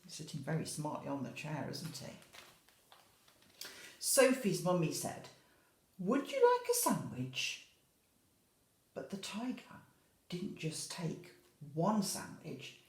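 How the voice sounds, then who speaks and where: Expressive woman reading a storybook, echoey room. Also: low voice, measured pace, vocal fry. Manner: expressive, storytelling, prompts children with questions. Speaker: infant school teacher. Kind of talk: animated picture-book reading for young children with asides.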